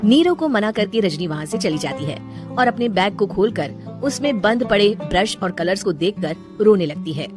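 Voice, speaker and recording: A woman's voice: medium pitch, animated, normal speed, music in the background. A woman narrating a scripted cartoon story as a storyteller.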